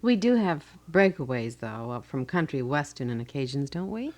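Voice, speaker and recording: A woman's voice: rumbling low, big pitch swings, moderate pace, darkish tone. A woman with an American accent co-hosting a live radio program, reading aloud and prompting in a polite conversational way. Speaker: radio co-host. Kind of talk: live radio co-hosting, reading listener letters and asking questions. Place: radio studio.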